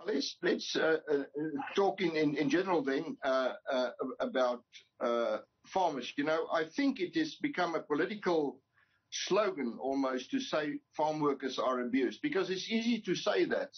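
A man's voice: fairly high pitch, lively intonation, moderate pace, breathy edge. An older man from an employers' association, speaking emphatically with many restarts in a news interview over a video call from his home study. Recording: dull lo-fi video call audio.

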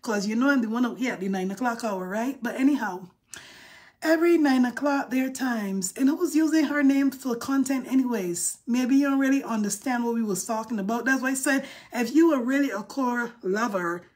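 A woman's voice: fairly high pitch, brisk, darkish tone. A middle-aged woman with a Barbadian accent, chatting playfully and sassily on a phone live stream from a room indoors. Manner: chatty and playful, sassy asides. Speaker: livestream host. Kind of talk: casual live-stream chat. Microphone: phone held close, selfie framing.

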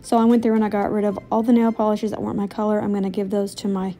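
A woman's voice: medium pitch, level tone, racing, dark tone, soft background music. A woman in her thirties with an American accent, chatting casually and informally as a self-deprecating homemaking vlogger.

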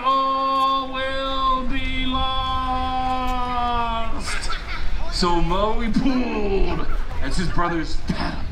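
A man from Hawaii performing live as a storyteller, telling a legend theatrically with dramatic pauses and drawn-out emphatic words. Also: sits high, vocal fry. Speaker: resort storyteller. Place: outdoor poolside deck at a resort. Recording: roomy, noisy outdoor live recording.

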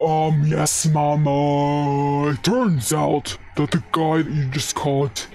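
A man playing a hesitant, deadpan police officer in a video game roleplay. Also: a little high, soft background music. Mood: hesitant, matter-of-fact. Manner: hesitant, measured.

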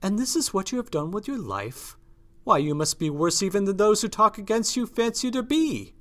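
Man acting an anguished, agitated gentleman, wildly swooping pitch. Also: very animated. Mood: appalled. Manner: agitated, rushed, exclamatory. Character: anguished, moralising gentleman painter, horrified and pleading. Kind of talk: dramatised classic novel reading.

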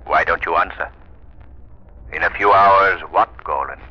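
An actor playing an unseen avenger who taunts ominously through a disembodied filter, in 1930s radio style. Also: male voice, low-pitched, a little slow. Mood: menacing, mocking. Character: unseen, menacing male avenger taunting a villain. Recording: muffled, noisy 1930s radio recording.

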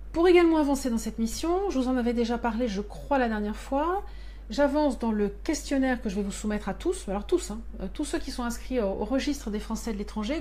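A woman in her fifties speaks straight into a desk camera from a small office lined with binders. She explains earnestly and patiently, gesturing as she goes, in a voice that sits slightly high. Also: a little mellow. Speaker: member of parliament addressing constituents abroad. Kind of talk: live-streamed monthly address. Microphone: webcam or phone at desk.

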